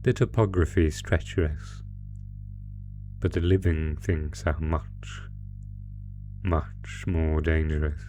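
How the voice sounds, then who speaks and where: A man plays a sinister monster from under the bed in a horror audio roleplay, with a very low voice. He gloats and taunts with mock politeness, very slowly and deliberately, relishing cruelty over clearly audible music. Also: fairly even intonation, dark tone. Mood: ominous, amused. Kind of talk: horror roleplay audio, slow theatrical menace.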